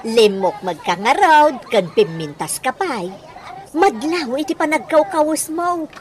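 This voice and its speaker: Swooping, high-voiced Ilocano gossip. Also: female voice, animated, a little slow. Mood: gushing. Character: gossipy, flattering woman moneylender, an old friend. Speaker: radio drama actress. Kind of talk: Ilocano radio comedy drama acting.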